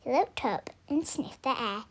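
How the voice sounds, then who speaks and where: A young woman reading a children's story aloud in an expressive, deliberate way, drawing out words for emphasis. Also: on the high side. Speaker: storybook reader.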